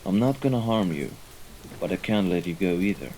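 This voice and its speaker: A man playing a composed, menacing mafia right-hand man in an audio roleplay, speaking in a formal, measured way. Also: sits low, expressive, mellow, a little hiss or hum, poor audio. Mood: coolly menacing. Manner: formal, measured, deliberate wording. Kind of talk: scripted audio roleplay acting. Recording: rain and ambience behind the voice.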